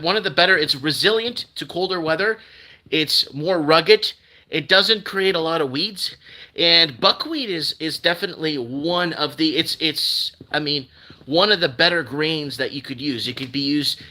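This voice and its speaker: Bright rambling male live-streamer. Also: fairly high pitch. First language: Portuguese. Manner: rambling, animated, repeats himself. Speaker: host. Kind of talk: casual live-stream news commentary.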